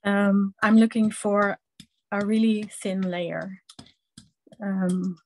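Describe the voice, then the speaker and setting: A woman with a Dutch accent leads a live online craft class, speaking slowly and haltingly as she works. Her pitch sits at an ordinary middle level, and she often stops to fill the gaps with ums while her hands are busy at the table. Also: fairly even intonation. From Netherlands. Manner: slow, halting, many ums, hands-on explaining. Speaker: craft instructor.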